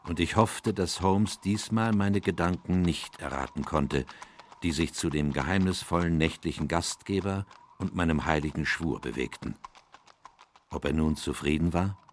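An actor playing a sober, reflective gentleman doctor, narrating a radio play in a measured, literary way. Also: low voice, slight background noise, close to an everyday voice. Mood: pensive.

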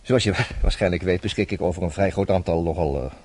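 A man's voice: low voice, fairly quick, darkish tone, breathy edge. An actor playing a worldly, self-assured man who advises his troubled friend in a chatty, teasing radio drama style. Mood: explaining, confident.

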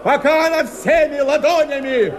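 High-pitched, stern tsarist officer. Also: male voice, normal speed. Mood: outraged, commanding. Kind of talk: declaimed verse radio drama. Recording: noisy crowd around the voice.

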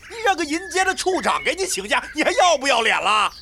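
A woman's voice: sits high, big pitch swings, fast, noisy background. A woman playing a furious, foul-mouthed superior in a Chinese audio drama, scolding explosively.

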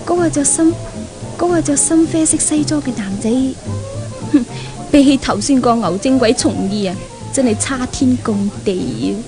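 High-voiced, coquettish spoilt young heiress. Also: female voice, measured pace. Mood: smitten, dreamy. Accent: Hong Kong Cantonese. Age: young adult. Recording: old radio recording, music behind.

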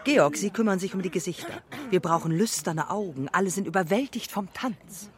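A woman's voice: lowish voice, animated, fairly quick, a crowd in the background, close to an everyday voice. Actress doing a demanding lady film director, radio drama, commanding and clipped, voice goes up and down a lot. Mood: bossy, exacting. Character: imperious, vain, demanding older female film director. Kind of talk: German radio drama acting.

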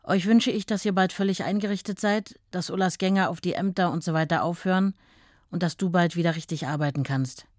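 A woman's voice: lowish voice, a little fast, darkish tone, dry recording, clean studio sound, close to an everyday voice. A woman reading a letter from an ailing older mother to her son in a tender, tired manner. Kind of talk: broadcast documentary reading.

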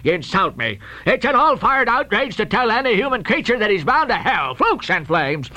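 Man acting a blustering, high-pitched old sea captain. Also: very animated, normal speed, a bit bright, no echo. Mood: furious, roaring. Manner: roaring, thundering, exclamatory archaic speech.

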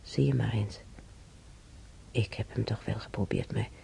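Frail, halting older woman, slow and creaky. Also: a bass voice, dark tone, whispered, exaggerated voice. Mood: musing, subdued. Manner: halting, murmuring, repeating herself. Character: ailing older woman reminiscing wistfully about wartime. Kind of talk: radio drama monologue.

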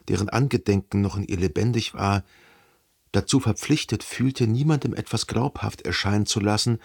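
Brooding jealous narrator in a radio play, lowish husky voice, thinks slowly out loud. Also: male voice. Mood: pensive, doubting. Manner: reflective, measured, long deliberate sentences. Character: brooding, jealous, introspective male first-person narrator in love. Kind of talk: literary radio drama narration, first person.

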